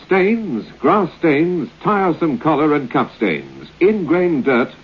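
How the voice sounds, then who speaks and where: A man's voice: a little high, sing-song, slow pace, a little hiss or hum. A man reading a scripted vintage radio advertisement as a commercial announcer. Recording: dull old radio recording.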